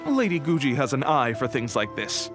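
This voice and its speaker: A man's voice: medium pitch, big pitch swings, normal speed, over music, natural voice. A man playing an earnest, admiring publishing house employee in a video game. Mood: earnest, reassuring.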